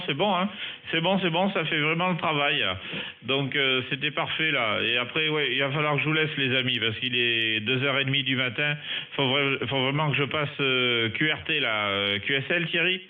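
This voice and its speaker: A man chatting casually as an amateur radio operator, hesitant and repeating short phrases, on a narrow radio sound. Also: medium pitch. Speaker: amateur radio operator, recording for his online channel. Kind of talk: casual ham radio chat.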